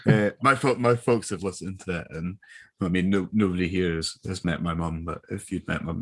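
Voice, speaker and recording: A man's voice: low-pitched, steady tone, fairly quick, slightly rough, dry recording. A man, an actor, telling casual anecdotes in a jokey way and acting out quotes.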